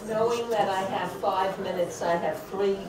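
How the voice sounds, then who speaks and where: An older woman speaking at a podium during public comment at a council meeting, deliberate and halting with frequent fillers. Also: mid-range voice, a crowd in the background. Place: large crowded council chamber. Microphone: podium microphone, heard from a far camera. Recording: echoey chamber recorded from far off.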